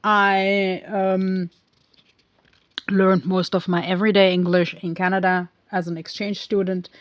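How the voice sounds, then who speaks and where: A woman recapping a film in a casual, rambling way, with many pauses and fillers. She speaks English as a second language and often goes off on tangents. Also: mid-range voice, level tone, no echo. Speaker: film reviewer.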